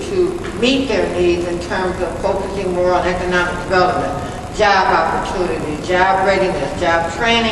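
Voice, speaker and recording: An older woman with a loud voice giving a conversational talk at a community forum, with many fillers and asides, holding a handheld microphone. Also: fairly low pitch. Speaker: agency director speaking at a community forum. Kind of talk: public talk at a panel forum. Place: indoor hall at a community forum. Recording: echoey hall from far off, noisy.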